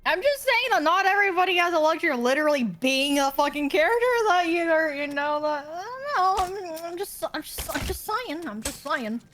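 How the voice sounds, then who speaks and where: A woman's voice: very high voice, animated, a little fast, few pauses, fairly bright tone, slight background noise. A woman guesting on a gaming stream, giggling, swearing and stammering through casual chat.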